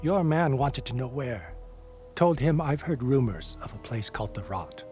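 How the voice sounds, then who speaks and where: A man's voice: mid-range voice, lively intonation, normal speed, a little mellow, lightly acted. An actor playing a friendly old male scavenger in a video game, with a knowing, storytelling drawl. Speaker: game character. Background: game music.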